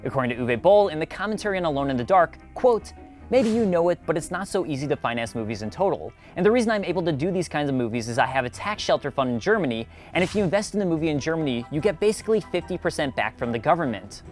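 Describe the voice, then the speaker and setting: Fast, animated male video essay narrator. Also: on the high side, soft background music. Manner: wry, conversational asides. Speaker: host of a video essay series.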